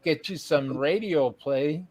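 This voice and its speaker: Slow-talking upbeat livestream host man. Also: on the high side, a little mellow, no room sound. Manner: upbeat, reads out chat messages, laughs often. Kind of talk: casual live-stream interview chat.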